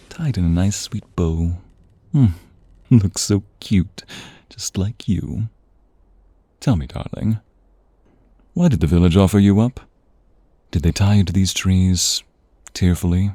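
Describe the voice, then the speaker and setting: Man playing a very deep, husky vampire seducer. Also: mellow, no echo, heavily acted. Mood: teasing, domineering, then wry, brooding. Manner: slow teasing murmur, often breathy whispers, sighs. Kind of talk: intimate ASMR romance roleplay.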